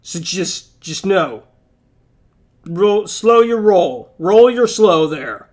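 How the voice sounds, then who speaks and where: A man's voice: sits high, lively intonation, very slow, darkish tone, exaggerated voice. A man voicing the sun as a haughty, self-important figure who bossily dismisses drivers. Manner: bossy, dismissive.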